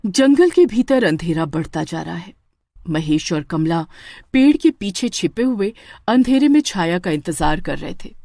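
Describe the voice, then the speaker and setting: A woman narrates a village horror story in a dramatic, scripted way. Her pitch rises and falls widely, and the recording is dry and close. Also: warm tone, no room sound. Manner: dramatic, with stammering in character lines.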